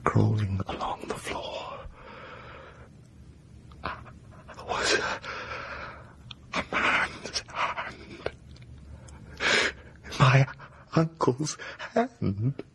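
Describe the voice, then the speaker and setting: An actor playing an anxious, haunted gentleman in a radio horror drama, recounting events hesitantly and intensely, with hushed, breathy passages. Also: mid-range voice, animated, drawn-out words, long pauses, heavily acted. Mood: horrified. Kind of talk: full-cast radio horror drama acting.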